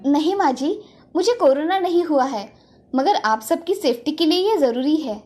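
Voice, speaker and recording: A woman's voice: sits high, animated, normal speed, warm tone, faint music behind. A woman voicing a meek, anxious young daughter-in-law who speaks deferentially in a storybook tale. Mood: pleading, apologetic. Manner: pleading, deferential.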